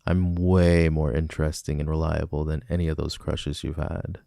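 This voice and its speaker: A man's voice: very deep voice, flat delivery, normal speed, vocal fry, mellow, no echo. A man playing a cocky, flirtatious villain in an ASMR romance roleplay, teasing and casually persuasive, close on the mic. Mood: earnest wooing. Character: flirty, cocky male villain smitten with a civilian.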